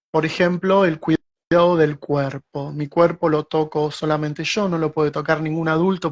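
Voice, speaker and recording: A middle-aged Argentine man with an Argentine Spanish accent, a guest writer answering thoughtfully in a casual interview over a video call, often restarting his phrases. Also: fairly high pitch. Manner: reflective, with frequent restarts and slow stretches.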